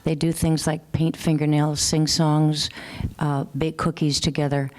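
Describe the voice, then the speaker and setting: A woman educator with a Minnesotan accent speaking on a panel, steady and matter-of-fact as she lists examples. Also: deep voice, unhurried, rough recording. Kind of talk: panel discussion remarks. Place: conference session room.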